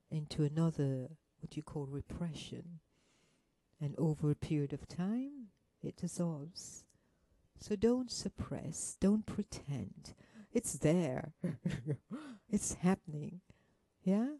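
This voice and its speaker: A woman giving an interactive motivational talk, gently coaxing her listeners with questions and endearments. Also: lowish voice, no room sound. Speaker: workshop speaker.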